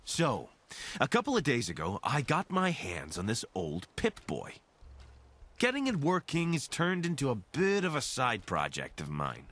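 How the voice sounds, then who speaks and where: An actor playing a friendly, wry scavenger companion in a video game, chatty and casual with dry humor. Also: male voice, medium pitch, wildly swooping pitch, a little slow, fairly bright tone, heavily acted. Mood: wry, upbeat.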